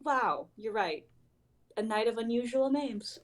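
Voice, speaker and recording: A woman's voice: a little high, animated, measured pace. A woman playing a playful, teasing young woman in a casual dramatic reading. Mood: warm, surprised. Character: playful, teasing young woman named Bellavia sharing a ride.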